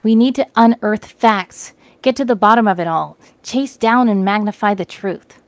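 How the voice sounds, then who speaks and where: A woman's voice: medium pitch, moderate pace, no echo, natural voice. A woman voicing a fervent young female student activist in a read-aloud story.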